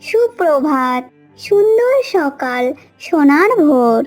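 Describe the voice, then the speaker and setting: Very high-pitched cartoon hen. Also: female voice, slow pace, faint music behind, heavily acted. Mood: cheerful. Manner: polite, calmly reasoning. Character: polite, steadfast, cheerful mother hen. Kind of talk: cartoon story dialogue.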